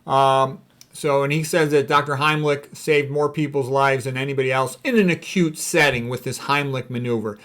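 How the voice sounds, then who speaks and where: A man's voice: medium pitch, fairly even intonation, normal speed, a bit bright. Blunt, opinionated male doctor. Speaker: doctor commenting on diet and medicine. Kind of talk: informal lecture, reading quotes and commenting.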